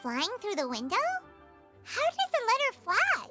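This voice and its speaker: Game sidekick: tiny, chirpy, very high squeaky voice, expressive and inquisitive, clear music bed. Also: female voice, moderate pace, full character voice. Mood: curious. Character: tiny chirpy childlike companion. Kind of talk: video game voice acting. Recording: music behind the voice.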